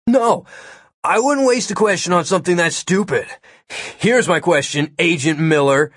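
A man's voice: on the high side, big pitch swings, moderate pace, fairly bright tone, dry recording, clean studio sound, voice pushed up. A man voicing a cocky, childish young male prisoner who talks in a smug, mocking, emphatic way for a comic story.

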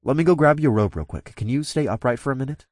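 A man's voice: medium pitch, animated, fast, a little mellow, no echo, clean studio sound, voice pushed up, lightly acted. A man voicing a protective, caring male werepanther with an earnest, firm manner in an audiobook. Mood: gentle concern. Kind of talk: acted audiobook dialogue.

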